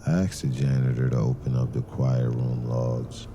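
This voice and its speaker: Man playing a very deep, brooding noir detective. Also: monotone, noisy background. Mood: brooding, grave. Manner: slow, deliberate, grave. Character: brooding male detective, terse, suspicious and methodical. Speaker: narrator and lead character of an audio crime drama. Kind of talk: noir detective scripted narration.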